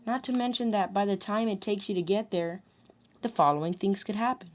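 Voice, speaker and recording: A woman recording scripted commentary about a video game, deliberately delivering lines and often rephrasing them in retakes. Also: medium pitch. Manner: deliberate, restarts and rephrases whole sentences.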